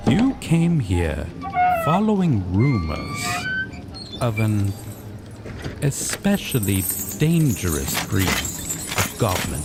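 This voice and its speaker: Slow male narrator, very noisy recording. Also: fairly low pitch, huge pitch swings. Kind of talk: scripted second-person fantasy narration.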